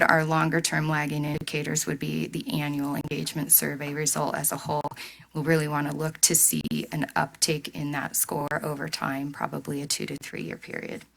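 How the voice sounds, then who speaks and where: A woman giving a steady, businesslike update to a board. Her voice sits low with a gravelly texture. She has a measured delivery. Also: low-quality recording. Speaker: staff executive presenting. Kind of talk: board meeting presentation.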